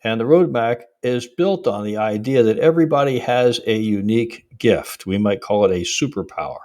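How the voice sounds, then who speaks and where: A man hosting an interview podcast, talking conversationally in a measured, deliberate manner. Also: mid-range voice, animated, darkish tone. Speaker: podcast host, executive coach.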